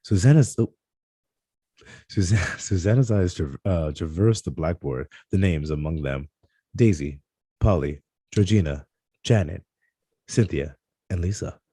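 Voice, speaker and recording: Deep, mellow man reading stage directions. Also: animated, slightly rough, no room sound. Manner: halting read-aloud with stumbles over words. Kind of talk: scripted narration at a table read.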